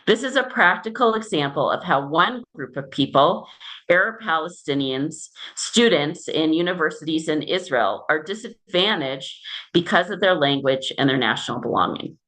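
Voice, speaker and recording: A woman from the United States, a native English speaker, giving measured, explanatory answers as a guest researcher in an interview. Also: low voice, lively intonation, a bit bright, poor audio.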